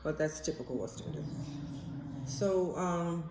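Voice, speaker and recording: A woman's voice: lowish voice, unhurried. An American woman telling personal stories in a casual, rambling vlog style, candid and blunt as she thinks aloud. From United States. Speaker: vlogger. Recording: roomy echo, faint background noise.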